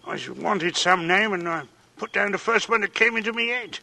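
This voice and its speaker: An actor in a 1940s radio drama playing an uneasy, plain-spoken working man, a stonemason who talks in a halting mutter. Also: high-pitched, gravelly, pushed and bright, full character voice. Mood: uneasy, puzzled. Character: uneasy working-class monument mason, plain-spoken and superstitious. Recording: old radio broadcast, music behind.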